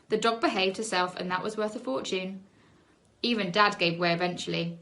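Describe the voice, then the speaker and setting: Expressive woman reading a children's story. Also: medium pitch. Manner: expressive, dramatic emphasis on key words. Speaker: teacher reading a children's book aloud. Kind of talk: animated storybook narration.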